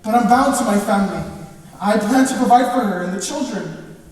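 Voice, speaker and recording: A man's voice: high-pitched, fairly quick, a little mellow, natural voice. A young man on stage playing a remorseful, pleading Spanish nobleman in a declamatory manner. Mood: anguished, defensive. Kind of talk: stage play acting. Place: large indoor theatre stage, far camera. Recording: echoey theatre stage from far off.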